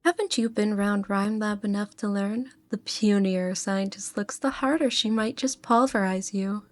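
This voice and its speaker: A woman's voice: medium pitch, fairly even intonation, normal speed, no room sound, natural voice. Fan-dub casting: a woman as a confident commander, teasing, dry close recording. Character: sly, confident female commander. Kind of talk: amateur fan dub acting.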